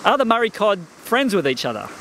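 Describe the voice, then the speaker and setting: Aussie guy with a high voice reading questions off a sheet, outdoors, faint background noise. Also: wildly swooping pitch, fast, bright, forceful tone, rough recording. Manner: cheerful, reads questions from a sheet. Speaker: interviewer. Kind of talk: reading questions in a kids' farm interview. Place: outdoors beside farm ponds. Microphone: handheld mic.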